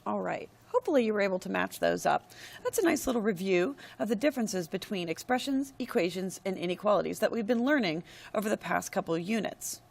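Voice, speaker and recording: A woman teacher talks through a math lesson while she records her screen. Her voice is hoarse and gravelly because she is losing it. She explains each step in a steady, methodical way. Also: medium pitch, fast. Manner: steady, step-by-step explaining with frequent "all right, go ahead".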